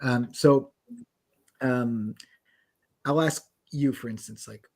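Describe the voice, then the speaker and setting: A man hosting a live online session, chatting casually and self-deprecatingly as he demos translation software. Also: mid-range voice, slow. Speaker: host demoing translation software. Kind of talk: casual live demo talk.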